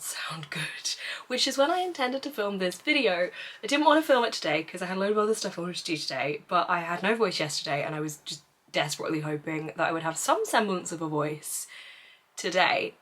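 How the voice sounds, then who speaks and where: Young woman, book vlogger, croaky, lost-voice hoarseness, lowish, chatty. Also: fairly bright tone. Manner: chatty, self-deprecating, laughs often. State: hoarse, losing her voice. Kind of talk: casual book review monologue.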